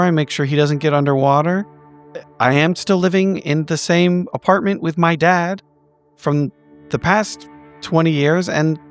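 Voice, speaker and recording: A man playing a small, frumpy, anxious woman in improvised roleplay, halting and self-justifying as the character explains. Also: a little high, voice pushed up, lightly acted.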